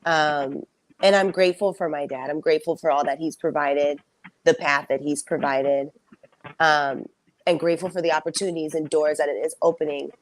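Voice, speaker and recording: A young woman singer telling her story in a casual interview, soft-spoken and reflective, with frequent fillers. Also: low-pitched, fairly even intonation, moderate pace. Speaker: singer and songwriter guest.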